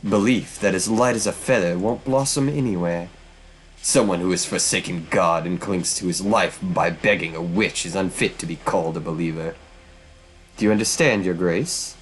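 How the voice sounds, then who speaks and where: A man's voice: fairly low pitch, slight background noise, lightly acted, poor audio. A man voicing a vengeful witch woman from hell, speaking in a theatrical, formal and taunting way.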